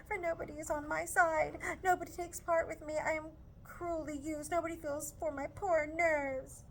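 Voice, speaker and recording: A fussy, self-pitying mother from a classic novel, complaining loudly about her nerves and scolding her daughter, as a woman reader puts her on. The voice is raised high and whiny, with a faint hiss of background noise. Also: level tone, moderate pace. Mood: plaintive. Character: fretful, complaining middle-aged mother, shrill and theatrical.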